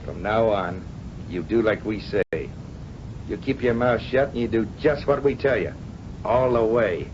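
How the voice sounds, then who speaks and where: An actor playing a hard-boiled crook boss in an old radio drama, menacing and curt, talking in tough gangster slang. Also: male voice, on the low side, measured pace, close to an everyday voice. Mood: commanding. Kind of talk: 1930s-40s radio drama acting. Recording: muffled, noisy vintage radio recording.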